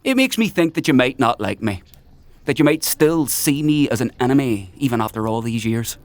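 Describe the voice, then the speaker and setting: An actor playing a menacing, paranoid military paragon in a dark fantasy audio drama, mock-friendly and needling in his drawn-out questions. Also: mid-range voice, sing-song. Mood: needling suspicion.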